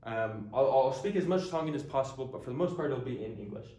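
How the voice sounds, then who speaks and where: A young man in his early twenties speaks earnestly, in a middle-pitched voice, pausing often on ums and restarting his sentences. He talks casually to the camera like a teacher introducing his course. The room around him is echoey. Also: lively intonation, mellow. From United States. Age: early 20s. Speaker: language teacher. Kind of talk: casual talking-head introduction.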